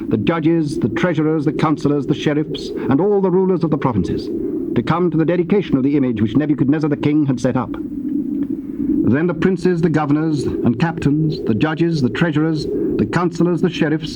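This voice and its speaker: A man narrating scripture formally from a script, in a measured, deliberate manner. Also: fairly high pitch. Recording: dull, noisy cassette-tape recording.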